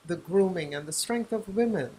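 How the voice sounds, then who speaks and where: An older woman from Guyana being interviewed as an author and journalist, speaking reflectively and pausing to search for words. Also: on the low side, lively intonation, mellow, a little hiss or hum, rough recording.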